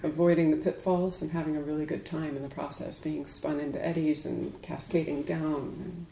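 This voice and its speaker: A woman giving a dharma talk to a group as a Buddhist teacher, speaking in a measured, deliberate way with emphatic pauses. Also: low-pitched, little pitch movement, dark tone, slight background noise. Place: indoors in a room. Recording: echoey room.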